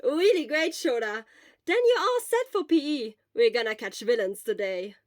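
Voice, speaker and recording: A woman's voice: a little high, very animated, normal speed. A woman voicing a cheerful, boisterous teenage schoolboy with an eager, exclamatory manner in an amateur audio story. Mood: excited. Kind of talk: amateur audio fan-fiction acting.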